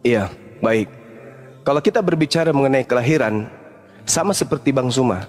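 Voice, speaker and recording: A man debating religion as a preacher, pressing with rhetorical questions and swinging from low murmurs to loud emphasis. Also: animated, moderate pace, soft background music.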